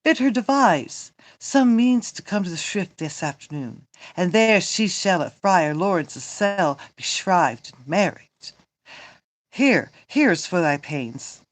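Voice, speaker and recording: A woman reading the part of a courteous, earnest young lover in an amateur Shakespeare play, in a halting, declamatory way. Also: fairly low pitch, expressive, dry recording. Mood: earnest, instructing. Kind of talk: amateur Shakespeare read-through.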